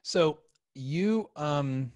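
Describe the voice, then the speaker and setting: A man in his forties hosting a casual interview, halting and rephrasing his questions as he goes. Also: on the high side, lively intonation, a little mellow, no room sound. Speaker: podcast host. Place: small home studio room, indoors. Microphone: close podcast microphone on a video call.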